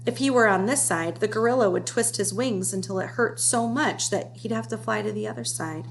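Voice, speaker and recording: A woman's voice: medium pitch, fairly quick. Woman reading a children's storybook, noisy recording. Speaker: storybook reader. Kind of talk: children's read-aloud narration. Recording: clear background noise with hum.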